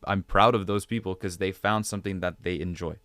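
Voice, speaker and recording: A man's voice: low-pitched, fairly even intonation, brisk, fairly bright tone, dry recording. A man speaking as a podcast guest, giving conversational advice with rhetorical questions and repeated phrases for emphasis. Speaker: podcast guest, digital creator.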